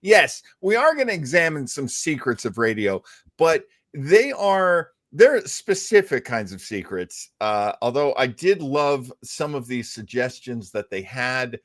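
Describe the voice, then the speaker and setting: A man's voice: medium pitch, big pitch swings, unhurried, fairly bright tone, dry recording, clean studio sound. A man hosting a casual live show, chatting in a jovial, folksy way with exclamations. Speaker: show host.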